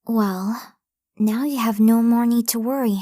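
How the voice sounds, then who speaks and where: A woman playing a fiercely protective young girl in an anime dub, bossy and matter-of-fact even when threatening. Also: a little high, a little slow, dry recording, clean studio sound. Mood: smug threatening. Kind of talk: anime fan dub acting.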